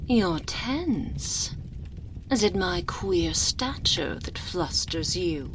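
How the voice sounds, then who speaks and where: An imperious aristocratic vampire countess, seductive and sinister in an intimate ASMR roleplay. Her voice is fairly low for a woman and swoops expressively, with velvety purrs that trail into breathy whispers. She speaks extremely slowly and haughtily, savoring every phrase. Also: expressive, background noise. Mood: sly, menacing. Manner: haughty, velvety, drawn-out purring delivery with breathy whispered endings. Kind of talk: binaural ASMR roleplay, theatrical character acting. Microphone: close binaural microphone.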